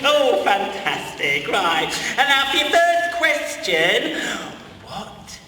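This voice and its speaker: A distant, very echoey hall recording of a radio-play comedy. A young man plays a theatrical male teacher who is flustered and giggly, with a sharp, forceful voice that gushes and stammers. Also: very high-pitched, takes it slowly, full character voice. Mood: giggly, excited. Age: young adult. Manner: excitable, gushing, stammering. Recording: echoey hall from far off, faint noise.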